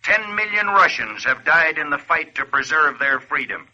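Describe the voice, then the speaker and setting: Solemn wartime announcer, intense cutting tone, mid pitch, declamatory, dull old broadcast recording. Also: male voice, big pitch swings, normal speed, pushed and bright, exaggerated voice. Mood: grave outrage. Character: grave, forceful male wartime appeal announcer. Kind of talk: 1940s dramatic radio narration. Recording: muffled vintage radio recording.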